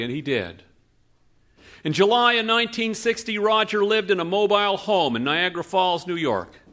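A middle-aged man narrating a true story on a theatre stage, measured and dramatic with pauses, into a stand mic at a lectern. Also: high voice, bright, forceful tone. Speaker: radio storyteller and host.

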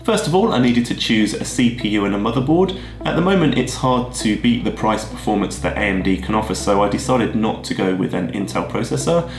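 A man, husky from a head cold, walking through his tech choices in a steady, methodical way. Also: on the low side, brisk. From Australia. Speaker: video creator. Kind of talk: scripted tech vlog explanation. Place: plain room indoors, seated at a desk. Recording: roomy echo with music behind.

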